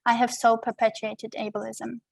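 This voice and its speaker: A woman whose first language is German, giving an earnest, measured lecture from her notes over a video call from home. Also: fairly high pitch, level tone, creaky, dry recording. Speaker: guest lecturer.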